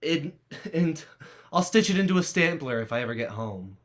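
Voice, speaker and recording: A man acts a sardonic teenage boy in an adult stage comedy. The voice is bright and sharp, a little high, with lively swings and a sarcastic bite. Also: normal speed. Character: sardonic sixteen-year-old human boy.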